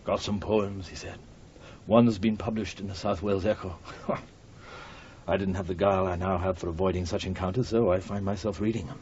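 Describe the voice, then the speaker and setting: An actor in a radio drama playing a jaded, burnt-out literary editor with a wry, wordy, bitterly witty manner. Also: male voice, fairly even intonation, moderate pace, darkish tone, close to an everyday voice. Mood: wry, rueful. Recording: dull muffled recording with rumbling noise.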